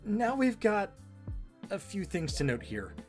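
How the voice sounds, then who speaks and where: A man's voice: high-pitched, huge pitch swings, measured pace, faint music behind. A man giving scripted back-and-forth commentary as co-host of a fan show.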